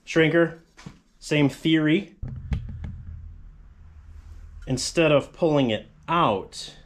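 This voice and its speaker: A man's voice: mid-range voice, a little hiss or hum. A man in his forties, a fabrication channel host, casually explaining a metal shop tool in his home garage, rambling with frequent asides. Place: cluttered home garage workshop with tarp walls.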